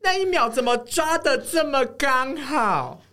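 A woman's voice: high voice, expressive, unhurried, background noise. A woman with a Taiwanese Mandarin accent co-hosting a podcast in a playful, teasing, casual way. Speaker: podcast co-host.

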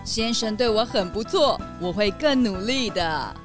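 Cow head chef for kids' radio drama female actor: polite, formal, measured slow pace, medium pitch. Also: animated, music in the background, lightly acted. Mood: dutiful. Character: courteous, dutiful star chef, animal who moos. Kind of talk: children's mystery audio drama.

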